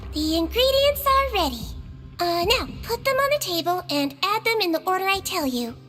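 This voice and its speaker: A woman voicing a small, kindly girlish head nurse in a video game, gentle, reassuring and instructive. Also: extremely high pitch, expressive, normal speed, exaggerated voice. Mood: cheerful, instructive. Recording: music under the voice.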